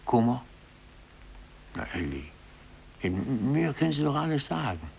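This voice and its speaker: Gravelly older office clerk, stammery and blustery, beaten down, old 1950s radio drama with faint hiss. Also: male voice, mid-range voice, very animated, measured pace, a little mellow. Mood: gentle, awkward. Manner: stammering, flustered. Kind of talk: 1950s German radio play acting. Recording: hissy 1950s radio recording.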